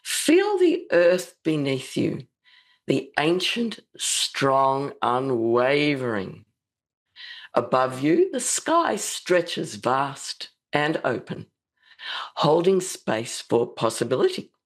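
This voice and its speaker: Low husky woman, bit croaky today, talks real slow and soothing, guided meditation vibe. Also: big pitch swings. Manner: slow, soothing, gently spiritual. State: slightly croaky voice. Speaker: radio show host, psychic.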